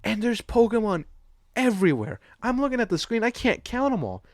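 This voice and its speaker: A man's voice: high voice, sing-song, brisk, no room sound, clean studio sound. A man co-hosting a podcast, chatting casually and profanely about films, often laughing as he talks. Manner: loose, profane, laughs while talking. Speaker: podcast co-host. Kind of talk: casual chat, film discussion.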